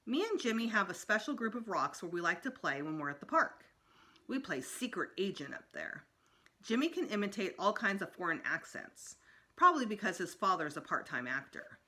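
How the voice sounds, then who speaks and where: A woman's voice: medium pitch, sing-song, normal speed, sharp tone. A woman reading a children's book aloud as a storytime narrator, expressively. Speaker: storytime reader.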